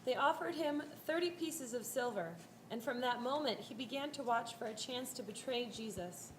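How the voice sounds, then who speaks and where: A woman's voice: on the high side, normal speed. A woman narrating a scripted scripture story as part of a reader's theater performance. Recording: echoey room, faint background noise.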